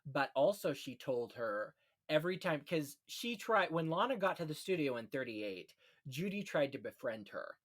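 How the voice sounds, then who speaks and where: A man's voice: on the high side, sing-song, normal speed, a bit bright. A man hosting a casual film history chat, telling anecdotes in a gossipy, conversational way. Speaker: podcast host.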